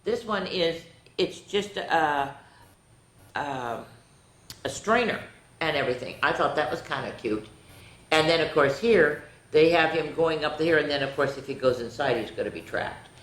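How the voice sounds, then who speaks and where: A woman's voice: low voice, animated, moderate pace, fairly bright tone. A woman hosting a children's storytime, reading picture books aloud with playful, chatty asides to her young listeners. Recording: echoey, roomy sound.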